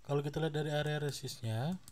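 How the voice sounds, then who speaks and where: A man's voice: on the high side, quick pace. A man hosting a stock channel, casually walking through charts with many fillers and a slight cough. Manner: relaxed, many fillers and tag questions. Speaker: stock market channel host.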